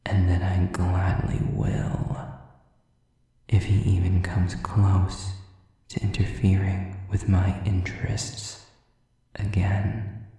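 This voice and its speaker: A very dark, velvety voice of a cruel demon, acted out by a man, drips out at a crawl. He whispers with cold, possessive menace, savoring each taunt. It is an intimate roleplay, yet the sound is set back from the mic. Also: deep voice, completely flat delivery, heavy reverb, recorded from a distance. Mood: menacing. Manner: slow, deliberate, breathy whispered menace, taunting. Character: sadistic male demon, cold, menacing, mockingly possessive predator. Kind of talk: intimate audio roleplay acting.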